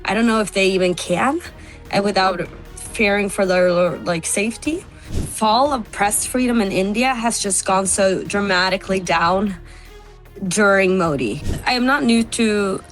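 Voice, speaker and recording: A woman's voice: medium pitch, fairly bright tone, poor audio. A woman journalist, giving a candid interview over a video call and laughing between points. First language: Norwegian.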